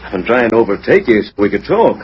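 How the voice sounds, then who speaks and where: A man's voice: lowish voice, sing-song, quick pace. A man acting a scheming, ruthless, blustering villain in a 1940s radio western, on a muffled, hissy old recording. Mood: sly, affable. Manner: theatrical, blustering. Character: scheming ruthless male villain, greedy railroad grabber, blustering.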